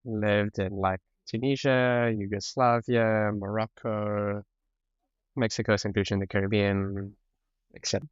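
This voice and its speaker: A man's voice: fairly low pitch, flat delivery, a little slow, clean tone, no room sound, highs cut off. A man with a mixed French and South African accent, talking as a podcast guest in an easygoing way, often laughing. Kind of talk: casual conversational interview.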